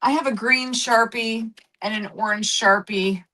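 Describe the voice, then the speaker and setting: A woman's voice: fairly high pitch, fairly even intonation, unhurried, sharp tone, rough recording. A woman in her fifties, co-hosting a craft live stream in casual, chatty talk full of exclamations and restarts. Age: 50s.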